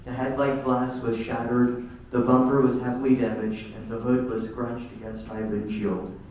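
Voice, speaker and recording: A man's voice: mid-range voice, little pitch movement, normal speed, darkish tone, a little hiss or hum. A teenage boy reading his essay aloud steadily. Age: teens. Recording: echoey room from far off.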